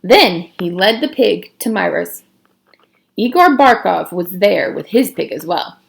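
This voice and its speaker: Animated woman reading a story aloud. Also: on the low side, unhurried, gravelly. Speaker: read-aloud narrator. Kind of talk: children's novel read aloud, expressive.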